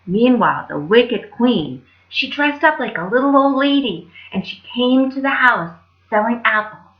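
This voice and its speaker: A woman tells children's stories in a casual, friendly-paced library style. Her voice sits fairly high and moves around a lot. The recording is slightly dull. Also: expressive, unhurried. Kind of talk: animated children's storytelling, casual.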